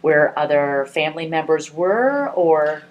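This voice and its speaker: Low-voiced woman interviewer. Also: expressive, measured pace, muffled recording, a loud crowd. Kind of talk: oral history interview.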